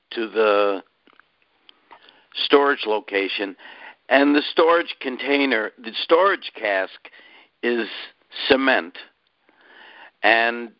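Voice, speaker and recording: A man with a cold and a raspy voice, slowly explaining technical details as an expert panelist. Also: mid-range voice, no echo. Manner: slow, explanatory. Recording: dry, muffled phone line.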